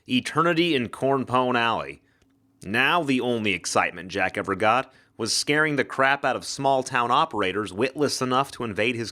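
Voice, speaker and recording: Male narrator for noir-flavored mystery fiction, mid-pitched, dramatic delivery with lively, wide intonation. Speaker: actor reading an audiobook-style story. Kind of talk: dramatic scripted narration of hard-boiled ghost mystery.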